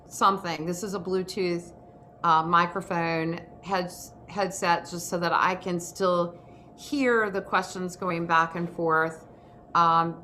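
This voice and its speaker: Woman host talking thru stuff casually, bright crisp-edged voice, bit of noise behind her. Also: lowish voice. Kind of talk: informal presenting to an audience of actors.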